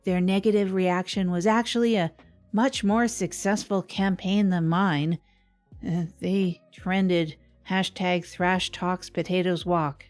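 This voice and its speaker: A woman's voice: fairly low pitch, fairly even intonation, takes it slowly, a little mellow, soft background music. A woman playing a self-deprecating radio host who rambles wryly through a scripted comedic confession. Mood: rueful, wry. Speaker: fictional radio host and narrator.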